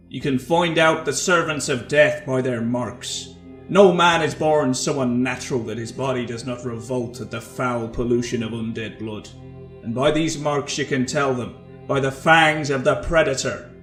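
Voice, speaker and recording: A man's voice: medium pitch, a little slow, fairly bright tone, close to an everyday voice. Man acting a grim zealot, echoing over music. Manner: solemn, preaching, theatrical. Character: grim, zealous vampire hunter preaching warnings. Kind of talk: fantasy character monologue. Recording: echoey, music behind.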